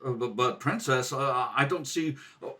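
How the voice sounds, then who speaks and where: A man's voice: mid-range voice, normal speed, pushed and bright, voice pushed down, lightly acted. A man playing a brave, earnest young adventure hero in old radio serial style. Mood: flustered. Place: home kitchen table. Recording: distant home kitchen-table rehearsal recording.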